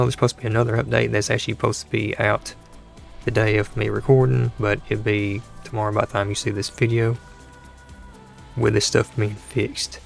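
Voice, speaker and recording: A man hosting a gaming channel, talking casually over gameplay with a dry throat and a hoarse voice, rambling and exasperated, often restarting his sentences. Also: mid-range voice. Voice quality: gravelly, creaky voice.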